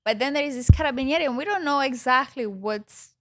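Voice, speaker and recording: A woman's voice: sits high, fast, no echo, studio quality. A woman from Italy with an Italian accent, a podcast guest chatting casually and laughing often, switching between Italian and English. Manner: animated, laughs often, rounds her words.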